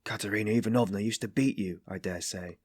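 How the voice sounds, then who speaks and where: A man's voice: quick pace, darkish tone, breathy edge, no echo, studio quality. A man voicing a brooding, bitter man who asks terse, probing questions in an audiobook story. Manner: terse, low-key, pointed questions.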